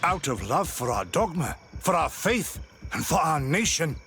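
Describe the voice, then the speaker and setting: A zealous, menacing inquisitor, speaks in a slightly high male voice with lively pitch swings. His threats are slow and theatrical, in fantasy game style. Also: faint music behind, heavily acted. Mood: cold menace. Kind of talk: video game drama acting.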